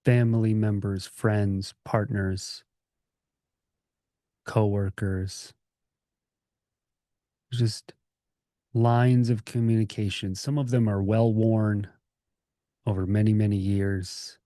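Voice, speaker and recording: A man leading a guided meditation as a teacher, speaking in a gentle, calming, informal way with pauses. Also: fairly low pitch, no echo, clean studio sound. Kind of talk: guided meditation, conversational and unscripted.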